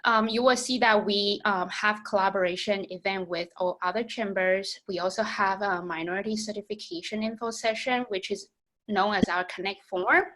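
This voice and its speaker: A woman's voice: mid-range voice, normal speed, fairly bright tone, poor audio. A young woman from Taiwan, English not her first language, presenting programs in an online meeting with frequent fillers. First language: Mandarin Chinese or Taiwanese. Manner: friendly, filler-heavy, explaining programs. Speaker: business program manager. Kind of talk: virtual presentation.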